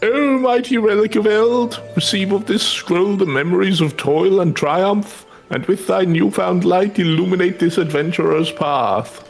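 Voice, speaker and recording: Man putting on a grandiose, creaky fantasy game mystic. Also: high voice, unhurried, few pauses, rough recording. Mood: grandiose, solemn. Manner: theatrical, solemnly archaic incantation. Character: grandiose male mystic who enchants ancient relic weapons. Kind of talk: live voicing of fantasy game dialogue. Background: game music.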